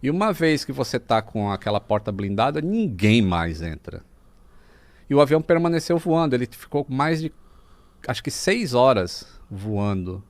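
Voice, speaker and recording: A man's voice: medium pitch, very animated, normal speed, dry recording. A man with a Brazilian accent talking casually as a podcast guest, explaining things like a storyteller and mimicking sounds as he goes.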